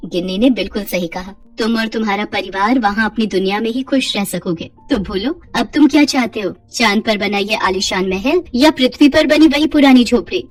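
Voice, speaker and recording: A woman's voice: medium pitch, moderate pace, few pauses, far from the mic, soft background music, natural voice. A woman voicing a kindly magical fairy who grants wishes, gracious and reassuring, in a moral story. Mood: gentle, knowing. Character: kindly magical wish-granting female fairy, calm and generous. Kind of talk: acted Hindi moral storytelling.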